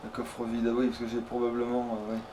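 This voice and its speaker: A man's voice: medium pitch, monotone, fast talker. A man giving casual, sweary let's play commentary, often muttering to himself as he plays. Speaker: let's player. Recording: clearly noisy background.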